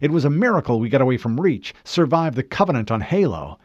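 A man voicing a gruff, skeptical female military crew member in an audiobook, speaking bluntly. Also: mid-range voice, sing-song, fast talker, darkish tone, dry recording, muffled recording, voice pushed up. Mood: incredulous, protesting. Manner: blunt, cursing. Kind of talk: audiobook character voice.

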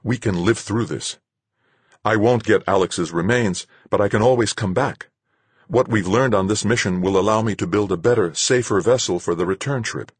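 A man's voice: on the low side, normal speed, breathy edge, dry recording, pitched above the performer's own voice. A man voicing an anxious male space explorer in an audiobook, tense and earnest. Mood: anxious, calculating.

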